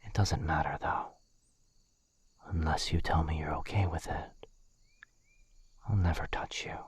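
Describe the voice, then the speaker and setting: A man playing a gentle, protective, courtly vampire lord in an ASMR roleplay, speaking softly in a husky near-whisper with a hesitant, formal, earnest manner. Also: extremely low pitch, fairly even intonation, measured pace, dry recording, exaggerated voice. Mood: earnest, careful. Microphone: close studio mic, dry.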